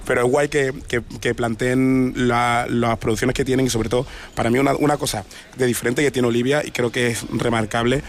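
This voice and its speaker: A man's voice: mid-range voice, fairly even intonation, a little fast, hardly any pauses, a little hiss or hum. A man with an Andalusian accent, an actor chatting in a radio interview, joking and laughing with many restarts. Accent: Andalusian Spanish. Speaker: actor guest. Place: radio studio.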